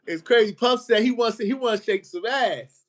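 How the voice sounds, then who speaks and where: A man hosting a podcast, chatty and quick to laugh as he asks follow-up questions in casual talk. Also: very high voice. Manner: chatty, laughs easily, keeps asking follow-ups. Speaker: podcast host. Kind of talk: casual interview.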